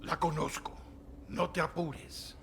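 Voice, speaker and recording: High-voiced, creaky, impassioned priest. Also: male voice, fairly even intonation, a little slow, fairly bright tone, a little hiss or hum. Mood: indignant. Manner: solemn, impassioned. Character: troubled, defensive older male priest in a crime drama.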